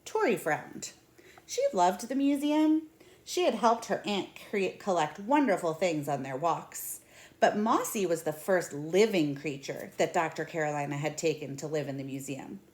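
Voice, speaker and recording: A woman in her thirties reads a children's picture book aloud at home. Her voice sits a little low for a woman. She phrases things deliberately and with expression, as a storyteller would. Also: lively intonation, a little mellow. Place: small indoor room at home.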